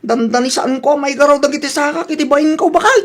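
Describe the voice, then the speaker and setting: An anxious, loyal husband from a radio comedy as a woman acts him, speaking very high for the part. He is earnest and quick to protest. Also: close to an everyday voice. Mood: worried. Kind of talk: Ilocano radio comedy drama acting.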